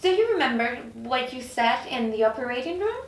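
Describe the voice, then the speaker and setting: Woman acting an exasperated, high-voiced, blunt heroine. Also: sing-song, a little fast. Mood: urgent. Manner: blunt, incredulous. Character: exasperated, impatient newly dead woman, blunt and desperate. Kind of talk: script table read. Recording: echoey room from far off.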